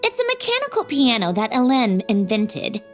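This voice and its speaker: A woman's voice: fairly high pitch, huge pitch swings, moderate pace. A woman voicing a wistful, knowing female character in video game dialogue. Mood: wistful, nostalgic. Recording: faint music behind the voice.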